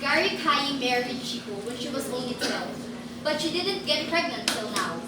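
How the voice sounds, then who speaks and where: Far from the mic in a noisy, echoing room, a woman plays an outspoken girl with a very high voice. She argues emphatically and indignantly, as in an amateur staged reading. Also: animated, normal speed. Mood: indignant, urgent. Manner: emphatic, argumentative. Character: outspoken, indignant girl defending women and babies. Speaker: student performer in a reader's theater. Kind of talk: amateur reader's theater dialogue.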